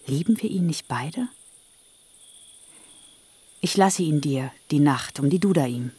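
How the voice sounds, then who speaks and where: A woman's voice: low-pitched, lively intonation, slow pace, mellow, husky, no echo, full character voice. An actress playing Rachel, a young barren woman pleading earnestly with her sister in a radio play. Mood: wistful.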